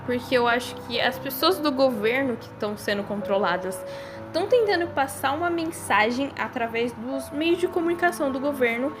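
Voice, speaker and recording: A young woman with a Brazilian accent giving casual, speculative commentary on a horror series in an informal, conversational way. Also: sits high. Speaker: video creator. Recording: voice-over with music behind it. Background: background music.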